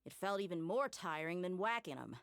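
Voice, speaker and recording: A tough, good-hearted mercenary in an anime game. The voice is notably low for a woman, with blunt, casual phrasing and an easy swagger. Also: expressive, fairly quick, smooth. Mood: weary, boastful.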